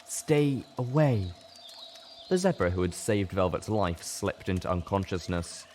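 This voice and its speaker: Deep-voiced man narrating a dramatic story. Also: expressive, moderate pace, a little mellow. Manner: intense, theatrical. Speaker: reader of a fan fiction story. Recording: clearly noisy background.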